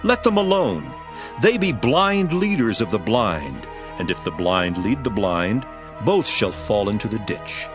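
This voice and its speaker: A grave holy teacher in a dramatized scripture reading. His low voice moves widely as he declaims with solemn, measured emphasis. Music plays clearly behind him. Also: very animated. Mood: solemn teaching.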